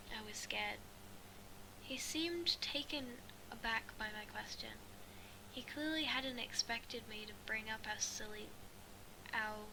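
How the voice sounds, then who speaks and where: A woman reading a first-person story aloud as an amateur, in a halting way with stumbles and repeated phrases. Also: on the high side, noisy background. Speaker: amateur fan fiction reader.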